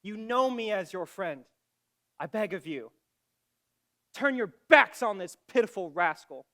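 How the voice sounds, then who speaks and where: An actor playing a blunt, indignant small-town doctor and loyal family friend, declaiming with scorn in a literary comedy drama. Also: male voice, high-pitched, animated, measured pace, pushed and bright, full character voice. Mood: earnest warning.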